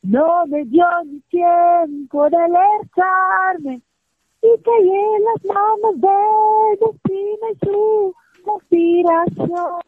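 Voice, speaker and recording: A woman from Senegal, a native Soninke speaker, singing a love song in slow, drawn-out phrases. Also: very high voice, no echo, narrow, tinny audio. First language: Soninke (Sarakole).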